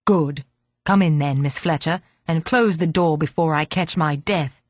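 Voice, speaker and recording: A woman reading a storybook voices a frail, grumpy old man who is gruff but kindly and speaks in a creaky, growling way. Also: low-pitched, measured pace, no room sound, voice pushed down. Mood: grumbling. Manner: grumbling, gruff.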